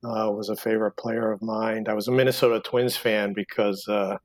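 A man in his sixties from Cuba, a book author answering interview questions in a halting way with many fillers and restarts. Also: fairly low pitch, level tone. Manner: hesitant, full of fillers and restarts. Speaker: guest author. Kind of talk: podcast interview.

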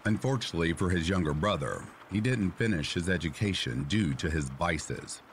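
A man with a very low, deep voice narrates a first-person story at a steady, even pace. Faint rain hisses softly behind him. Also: normal speed. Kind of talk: scripted narration of a reader-submitted story. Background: faint rain sounds.

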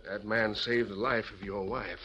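An actor playing a cautious, sober male leader of the valley men, speaking in a measured way in a vintage radio drama. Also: on the low side, steady tone, sharp tone, highs cut off. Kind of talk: 1940s radio acting.

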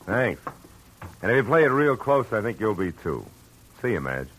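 A man's voice: fairly low pitch, big pitch swings, moderate pace, fairly bright tone, a little hiss or hum, poor audio. An actor with an American accent playing a wry, wisecracking male private detective in old-time radio drama, clipped and slangy. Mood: cool, tough. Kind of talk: 1940s-50s radio detective drama acting and narration.

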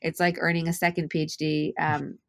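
Thoughtful low-voiced woman scholar. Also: flat delivery, fairly quick, dry recording, clean studio sound. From Japan. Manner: thoughtful, hesitant with many fillers. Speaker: historian and author. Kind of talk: academic interview.

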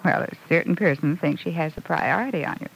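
A woman's voice: low-pitched, quick pace, gravelly, breathy edge, exaggerated voice, poor audio. A woman playing a pert, scheming young working woman in an old-time radio soap opera, coy and insinuating. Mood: furtive, insinuating. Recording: vintage radio recording.

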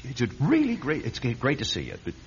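A man's voice: medium pitch, wildly swooping pitch, fast talker. An actor playing a vain, womanizing male celebrity with oily charm and a stammer, in a radio drama. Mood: flustered, awkward. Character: smooth, vain, womanizing celebrity man, flustered when cornered. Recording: dull radio recording with faint noise.

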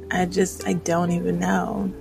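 A woman in her thirties talks casually and introspectively, as in a personal vlog. Her delivery is unhurried and halting, with plenty of vocal fry. Faint music plays along with her voice. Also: lowish voice. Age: 30s. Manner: hesitant, rambling, introspective. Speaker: vlogger.

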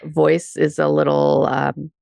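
Low gravelly woman podcast host. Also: completely flat delivery, takes it slowly, a little mellow, no echo, studio quality. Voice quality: nasal. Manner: chatty, laughs often, many fillers. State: under the weather, congested. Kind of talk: casual podcast chat.

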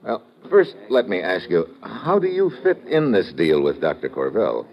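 An actor playing a tough, wisecracking American secret agent in a 1950s radio adventure, slangy and sardonic. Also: male voice, low voice, sing-song, moderate pace. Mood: probing, urgent. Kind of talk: 1950s radio adventure acting, hard-boiled narration. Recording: dull vintage radio recording.

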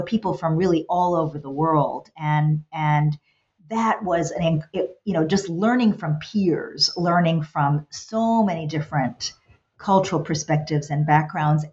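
A middle-aged woman whose first language is Hungarian talks through her past in a thoughtful, halting way, with frequent restarts. Her voice sits very low for a woman. The recording is dull and lo-fi. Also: darkish tone. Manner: thoughtful, many restarts and fillers. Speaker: guest, college president. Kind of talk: reflective interview answers.

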